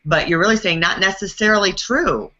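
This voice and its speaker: Bright-voiced woman host, lo-fi sound. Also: medium pitch, a little fast. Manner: curious, thinking aloud while asking. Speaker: podcast co-host. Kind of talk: podcast interview. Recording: dull lo-fi sound.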